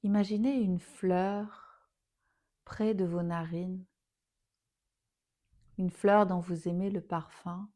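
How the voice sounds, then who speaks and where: A woman teaching yoga nidra, guiding a relaxation meditation in a soothing, unhurried manner, with phrase endings softly trailing towards a whisper. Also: fairly low pitch, steady tone.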